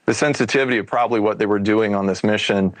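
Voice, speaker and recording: A man's voice: lowish voice, fast talker, no room sound. An American man speaking as an expert guest, explaining a case in a conversational interview. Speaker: expert guest, UFO investigator and former federal agent.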